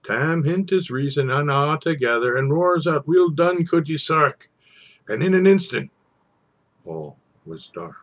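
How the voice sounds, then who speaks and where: A man reciting an old narrative poem in a theatrical storytelling style, with dramatic pauses and swells. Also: a little high, sing-song, fairly bright tone. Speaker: poetry reader.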